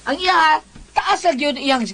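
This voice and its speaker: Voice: sits high, a little slow, a bit bright. An advice show co-host with a Filipino Cebuano accent, talking in casual, chatty banter. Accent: Filipino, Cebuano-speaking. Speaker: co-host giving advice to letter senders.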